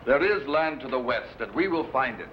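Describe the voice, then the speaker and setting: A steadfast admiral at sea played by a man in a vintage radio adventure. He is firm and earnest, with a very animated, mid-pitched voice. The recording is dull, with faint noise behind it. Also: moderate pace, natural voice. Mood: earnest, insistent. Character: steadfast, commanding male ship's admiral and explorer. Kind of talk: old-time radio adventure acting.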